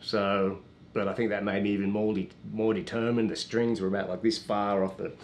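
A man's voice: lowish voice, little pitch movement, brisk, a little hiss or hum. An Australian man in his thirties, a guest musician, chatting in a studio interview with a slight American Southern drawl, friendly and full of "you know". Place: small TV studio with green screen.

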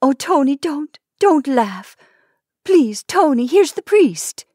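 A woman doing a shrill pleading wife. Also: normal speed, no echo, clean studio sound, natural voice. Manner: pleading, rising to a shriek. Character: pleading desperate wife of a dying shopkeeper.

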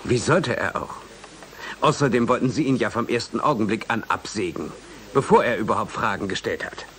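A man's voice: on the low side, a little fast, fairly bright tone, slight background noise, natural voice. An actor playing a composed male academic in a radio play, politely sarcastic and probing. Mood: dryly ironic. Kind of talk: German radio play acting.

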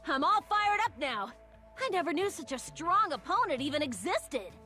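A woman acts out a tough young woman from a video game in a fairly high voice. She is blunt and matter-of-fact, with faint music behind her. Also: very animated, normal speed, pushed and bright, full character voice. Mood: brash, blunt. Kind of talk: reading game dialogue aloud.

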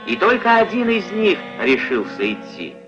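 A man narrating a puppet-film folk tale in a theatrical, expressive storybook style. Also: high-pitched, moderate pace. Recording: dull old film soundtrack. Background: music.

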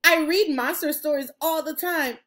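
A woman's voice: high-pitched, moderate pace, a bit bright. A woman voicing a tiny, bold, eager boy from a storybook, giggly and emphatic.

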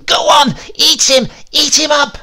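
A man's voice: measured pace, exaggerated voice. A man voicing a vengeful old widow who shrieks commands at her dog. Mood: fierce. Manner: shrill, shouting.

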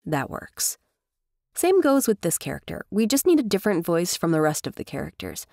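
A woman giving a casual, chatty software tutorial voice-over as host. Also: on the low side, sing-song, moderate pace, creaky, no room sound, studio quality. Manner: informal, chatty. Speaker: tutorial host, professional narrator.

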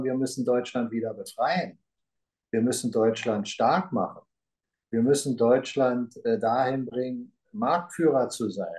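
A man's voice: mid-range voice, a little mellow. A middle-aged man talks on a video call, sitting before a green grass backdrop. He speaks emphatically and rambles, stacking up rhetorical questions. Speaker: talk show co-host. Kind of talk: casual conversation. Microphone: video call webcam.

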